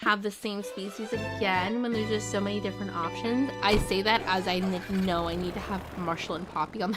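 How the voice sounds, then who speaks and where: Chatty young woman streaming over game music. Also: mid-range voice. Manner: chatty, rambling, filler-heavy, bursts into squealing excitement. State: recovering voice, slightly hoarse. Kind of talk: casual live-stream chat while gaming.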